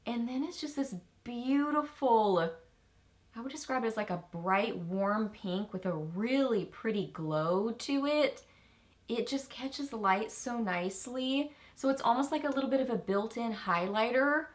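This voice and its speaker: A woman with a Texan accent chatting through a casual makeup review, bubbly and easily excited, with rambling asides. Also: fairly high pitch. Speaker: beauty vlogger.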